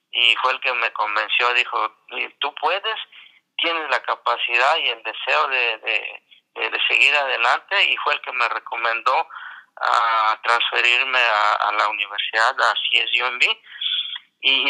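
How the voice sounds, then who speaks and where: A man's voice: mid-range voice, gravelly, sharp tone, rough recording. An older man whose first language is not Spanish, telling his story in a radio interview, hesitant with many restarts. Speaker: interview guest, graduate.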